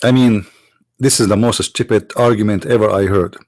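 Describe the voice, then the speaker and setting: A man whose first language is Arabic, from the Middle East, arguing in a sarcastic, mocking tone and slowing down to stress quoted phrases. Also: fairly low pitch, steady tone, darkish tone, no room sound, studio quality. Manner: sarcastic, mocking rhetorical questions. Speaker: apologist speaker. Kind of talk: argumentative lecture.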